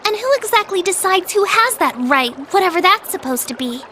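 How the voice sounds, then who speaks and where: An actress voicing a caring, polite teenage younger sister in a video game, with an earnest, proper way of speaking. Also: female voice, very high-pitched, expressive, brisk, bright, forceful tone, a little hiss or hum, heavily acted. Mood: upset, pleading. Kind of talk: video game cutscene voice acting. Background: trickling water ambience.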